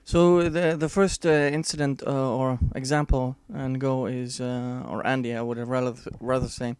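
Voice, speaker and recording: Halting man reading an article aloud. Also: medium pitch, flat delivery, darkish tone, smooth, no room sound. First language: not English. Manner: halting, many "uh" fillers and restarts; dry, sarcastic asides. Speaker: commentator.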